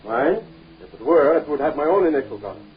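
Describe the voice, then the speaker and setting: An actor playing a suave, evasive archaeologist in a 1930s film serial, with a formal, courteous, theatrical manner. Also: male voice, fairly high pitch, sing-song, brisk, slight background noise. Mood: guarded. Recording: dull 1930s film soundtrack, faint music.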